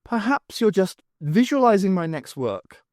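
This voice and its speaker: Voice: medium pitch, very animated, unhurried, a little mellow, dry recording, studio quality, pitched above the performer's own voice. A narrator voicing an earnest, self-important male painter with a grandiose manner in an audiobook. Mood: proud.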